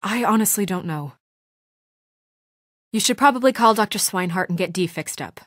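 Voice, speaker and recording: Stern tired woman in charge, video game character, crisp n businesslike, mid pitch, super dry close sound. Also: animated, normal speed, fairly bright tone, dry recording. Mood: businesslike, firm. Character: composed, weary, stern female administrator, quietly troubled. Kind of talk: naturalistic video game voice acting.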